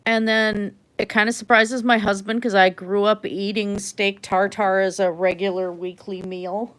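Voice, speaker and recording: A middle-aged woman who spoke German first chats easily with her livestream audience. Her voice sits at a plain, middle pitch. She rambles from one thought to the next, laughs often, and lets her sentences fade off quietly. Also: level tone, normal speed, poor audio. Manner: chatty, trails off softly, laughs often. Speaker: livestream art host. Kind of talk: casual rambling chat with viewers.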